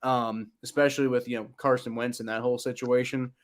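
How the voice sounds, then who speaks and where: A man's voice: medium pitch, flat delivery, a little fast. A man with a slight Kentucky accent co-hosting a casual sports podcast, blunt and opinionated, often stammering over repeated words.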